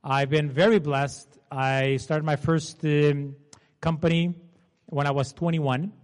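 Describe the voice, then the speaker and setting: Man, 40s, Portuguese accent, chatty seminar presenter, slightly high voice, long hall reverb. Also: level tone, slow. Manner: chatty, asks the audience to confirm. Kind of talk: informal seminar talk. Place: seminar room.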